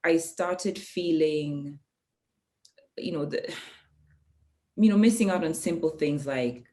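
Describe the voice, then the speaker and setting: Low-voiced woman, reflective. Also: dark tone. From Kenya. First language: Swahili. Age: adult, parent of a young child. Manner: reflective, pauses often with ums. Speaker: guest. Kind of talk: casual conversation.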